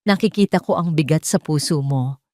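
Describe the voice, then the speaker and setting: Woman doing an aging mother, gentle, soothing, low voice, soft-spoken, audio drama. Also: moderate pace, no room sound, studio quality, close to an everyday voice. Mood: tender.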